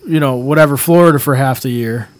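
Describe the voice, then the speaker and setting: A man's voice: mid-range voice, fast talker. A man co-hosting a casual podcast, telling stories animatedly and swearing freely. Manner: animated, swearing, laughing storyteller. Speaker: podcast co-host. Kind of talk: casual chat.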